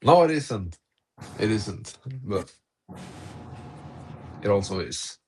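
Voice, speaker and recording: A man's voice: fairly low pitch, sing-song, slow pace, a little hiss or hum. A man hosting a casual live audio-room podcast chat, laid-back and chuckling between phrases. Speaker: podcast host.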